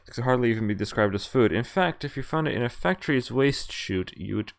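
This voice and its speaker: A man's voice: medium pitch, lively intonation, fast talker, vocal fry. A young man streaming a visual novel, reading the text aloud and adding casual commentary. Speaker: game streamer. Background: game music.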